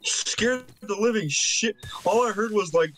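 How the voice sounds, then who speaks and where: A man's voice: sits high, unhurried, a bit bright, poor audio. A man bantering casually with other players in a game, joking and swearing as he reacts. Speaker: fellow player on voice chat.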